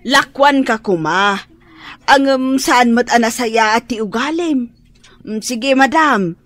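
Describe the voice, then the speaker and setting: A woman's voice: on the high side, takes it slowly, fairly bright tone, dry recording. A woman playing a polite but persistent fish vendor with an Ilocano accent in a radio drama. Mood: polite, coaxing.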